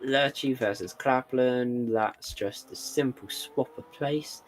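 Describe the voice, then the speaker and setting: A man's voice: mid-range voice, a little hiss or hum, poor audio. A tired man with a sore throat doing live esports race commentary, dry and wry between calls. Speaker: sim racing commentator.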